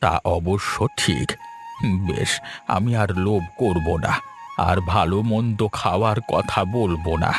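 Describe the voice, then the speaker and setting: A man's voice: deep voice, a little slow. A man playing a meek, food-loving old village husband in a fairy-tale cartoon, speaking in a wistful, yielding way. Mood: meek, conceding. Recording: music behind the voice. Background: background music.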